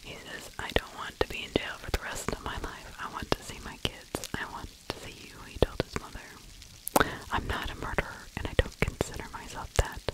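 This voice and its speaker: A woman's voice: fairly quick, poor audio. Whispering middle-aged woman, ASMR storyteller. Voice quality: breathy unvoiced whisper. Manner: conversational, opinionated. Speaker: true crime ASMR host, narrator. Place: small indoor room. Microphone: close microphone with furry windscreen.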